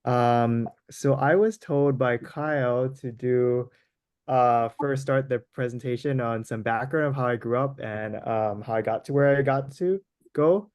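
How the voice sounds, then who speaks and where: Halting, smooth-voiced man from Hawaii. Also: mid-range voice, darkish tone, no echo, clean studio sound. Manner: hesitant, many ums and restarts. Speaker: guest lecturer. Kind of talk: casual spoken lecture over a video call.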